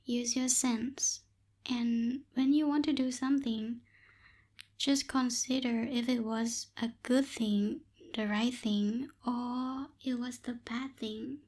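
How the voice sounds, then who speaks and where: A young woman voicing a bossy but secretly caring tsundere witch in a close-miked ASMR roleplay, softly scolding and a little hesitant. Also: on the high side, steady tone, slow pace. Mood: firm, instructive. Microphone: close binaural microphone.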